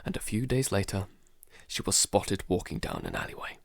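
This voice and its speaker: A man playing a frightened, traumatised survivor in a halting, dramatic audio-drama monologue. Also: on the low side, huge pitch swings, creaky, no room sound, studio quality, exaggerated voice. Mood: grim, haunted. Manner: halting, stammering, broken by sighs. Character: frightened, traumatised male survivor keeping a monster-apocalypse audio diary.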